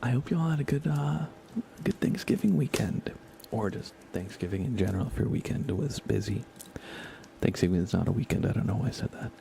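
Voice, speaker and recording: A soft-spoken man chatting casually as he hosts an ASMR gaming stream, often slipping into whispers. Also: fairly low pitch, expressive, a little fast, vocal fry. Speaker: livestream host. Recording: faint computer fan noise. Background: computer fans, game ambience.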